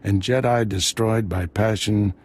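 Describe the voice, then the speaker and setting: An actor playing a seasoned, commanding Jedi master in a video game, solemn and authoritative. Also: male voice, on the low side, steady tone, measured pace, husky. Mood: solemn warning. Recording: loud music behind.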